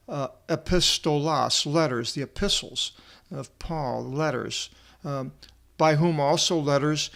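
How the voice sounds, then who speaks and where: A man giving an informal verse-by-verse Bible lecture as a teacher, reading aloud and explaining word by word in short, halting phrases. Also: fairly high pitch, fairly bright tone. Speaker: Bible teacher and translator presenting a video seminar.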